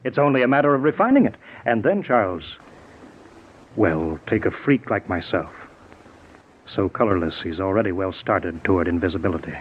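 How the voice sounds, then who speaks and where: A man's voice: low-pitched, lively intonation, normal speed. An actor playing an arrogant, resentful inventor drunk on his own power in a 1940s radio drama, insistent and gloating. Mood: proud, scheming. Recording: tinny, noisy 1940s radio recording.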